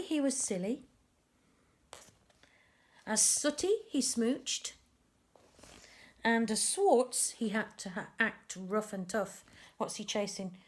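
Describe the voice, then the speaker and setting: A woman reading a picture book aloud to young children, with expressive, deliberate emphasis and chatty asides about the pictures. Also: mid-range voice.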